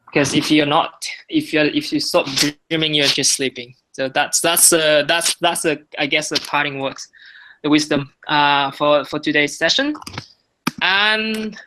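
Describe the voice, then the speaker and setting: A man's voice: on the high side, fairly even intonation, a little slow, background noise. A young man, a student presenting his project to a class and stopping often to restart his sentences. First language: Vietnamese. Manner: hesitant, many restarts and fillers. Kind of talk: talk to a class with questions after.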